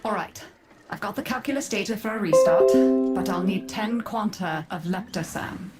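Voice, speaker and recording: Actress doing a firm bossy matriarch in a video game, urgent, loud music behind her. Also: mid-range voice, moderate pace, close to an everyday voice. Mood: resolute. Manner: firm, directive. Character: commanding, urgent mother leading her family of servants. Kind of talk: video game cutscene acting.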